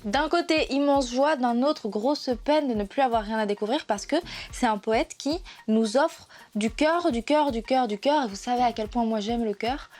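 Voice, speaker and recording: A woman's voice: on the high side, moderate pace, darkish tone, faint music behind. A woman presenting a literature vlog in a casual, playful and emotional way, often hesitating with fillers and restarts.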